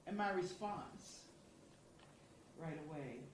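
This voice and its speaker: A woman's voice: lowish voice, expressive, takes it slowly, a little hiss or hum. A woman poet speaking thoughtfully and earnestly about her writing at a symposium. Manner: thoughtful, earnest, with small restarts. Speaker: contributing poet. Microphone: far from the microphone. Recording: distant voice in a very echoey hall.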